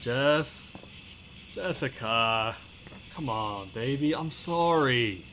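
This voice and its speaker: Drunken, remorseful young boyfriend, audio drama; slow, pleading calls over faint night insects. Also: male voice, mid-range voice, expressive, fairly bright tone, slight background noise, full character voice. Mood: pleading, desperate.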